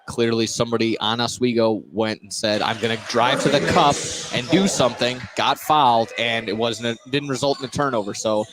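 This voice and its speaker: A man's voice: medium pitch, brisk, hardly any pauses. American male color commentator, noisy gym. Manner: repeats words and phrases. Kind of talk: informal live basketball analysis. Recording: clearly noisy gym ambience.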